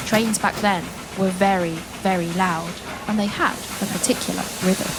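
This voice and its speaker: An actress as a woman storyteller leading a children's audio adventure, theatrical and direct, leaving dramatic pauses. Her pitch sits in the middle for a woman. Clear ambient noise runs behind her. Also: fairly even intonation, close to an everyday voice. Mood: suspenseful narration. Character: adventurous woman storyteller guiding listeners on a quest. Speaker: storyteller of a participatory audio play.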